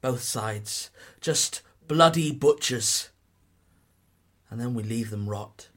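An actor playing a bitter, desperate young soldier who is worn out by war, in a radio play. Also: male voice, medium pitch, slow. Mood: bitter, weary. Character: desperate, war-weary young male soldier plotting desertion.

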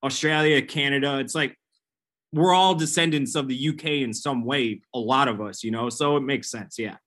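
A man's voice: mid-range voice, normal speed, fairly bright tone. An American man with an American accent, chatting casually. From United States. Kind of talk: casual chat.

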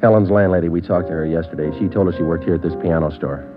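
Casting: man to play a relentless, terse cop, extremely quick deadpan questions, old radio play, tinny recording. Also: low-pitched, over music, lightly acted. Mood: businesslike. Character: terse, businesslike male police sergeant, relentless. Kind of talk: 1940s-50s radio drama acting. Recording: thin vintage radio recording.

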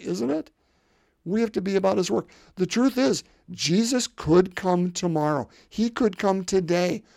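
Preacher with a gravelly, dry voice. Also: male voice, high-pitched, darkish tone, dry recording. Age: 50s. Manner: conversational, repeats words, asks the congregation rhetorical questions. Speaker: pastor. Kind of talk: sermon.

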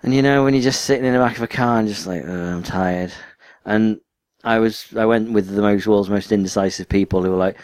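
A northern English man co-hosts a casual podcast, his voice on the low side. He rambles in a tired, self-deprecating way. The sound is dry and close, with no room around it. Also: brisk, few pauses, darkish tone, clear, resonant tone, no echo. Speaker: podcast co-host. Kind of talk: casual chat.

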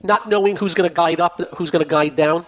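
A man hosts a finance call-in radio show, his voice going hoarse as he talks. He chats in a loose, repetitive way with plenty of fillers, and sits fairly high in pitch. The broadcast sounds dull and lo-fi. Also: fairly quick. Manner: chatty, repeats himself, many fillers. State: losing his voice. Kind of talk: casual radio market talk.

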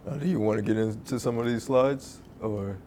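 A man's voice: fairly low pitch, fairly quick, darkish tone, a little hiss or hum. A man hosting a podcast interview, asking loose, informal questions with a joking manner. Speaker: podcast host. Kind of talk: casual interview chat.

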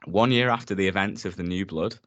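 Man, podcast co-host, sick and rough-voiced, very low pitch, dry dull lo-fi sound. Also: brisk, dry recording. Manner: steady, presents facts and synopsis methodically. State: sick, voice rough and deeper than usual. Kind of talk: casual chat.

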